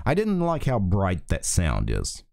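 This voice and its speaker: A middle-aged man with a very low, dark voice, talking through software steps in a relaxed way and restarting his sentences now and then. He records at a desk microphone in a small home office, and the sound is dry and close. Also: measured pace, gravelly, no echo. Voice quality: deep voice. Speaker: tech tutorial host. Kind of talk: casual screen-recorded software tutorial. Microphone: desk USB microphone.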